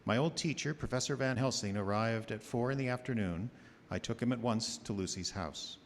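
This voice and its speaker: An actor playing an earnest doctor recounting events formally and soberly in a radio drama, in a reverberant theatre. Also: male voice, mid-range voice, slight background noise, natural voice. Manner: formal, measured. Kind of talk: live radio drama narration. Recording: reverberant theatre with music behind.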